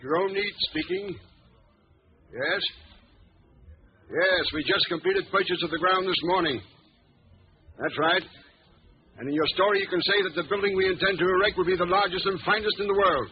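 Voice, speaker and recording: An actor playing a brisk, easily indignant construction boss, clipped and businesslike, in 1940s radio style. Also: male voice, sits high, lightly acted. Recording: muffled, noisy old radio recording.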